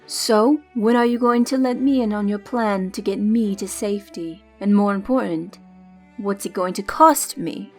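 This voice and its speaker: A woman playing a restless, sharp-tongued young fugitive, haughty and impatient, in a comic dub. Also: mid-range voice, normal speed, faint music behind, close to an everyday voice. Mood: suspicious. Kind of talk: amateur comic dub voice acting.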